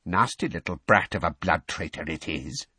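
A man voicing a bitter old male house elf who mutters hoarsely and resentfully under his breath. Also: expressive, normal speed, sharp tone, no room sound, far from the mic, heavily acted. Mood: sly resentful. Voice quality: hoarse, deep, croaking.

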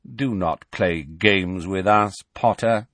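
Cold commanding dark wizard, terse and haughty; male narrator's character. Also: low voice, takes it slowly, dry recording, exaggerated voice. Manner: clipped, commanding. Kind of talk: audiobook character voice.

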